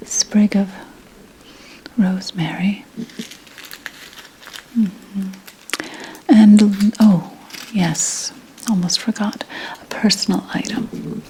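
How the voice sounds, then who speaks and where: A woman playing a shrewd, kindly 17th-century witch in a hushed, deliberate, mostly whispered ASMR roleplay. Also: mid-range voice, slight background noise, low-quality recording.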